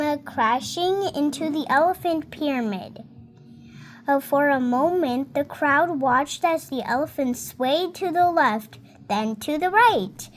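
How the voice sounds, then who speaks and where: A girl of about seven reading a storybook aloud in a careful, halting, word-by-word way. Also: high-pitched, a little hiss or hum. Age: child, about seven. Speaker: young reader.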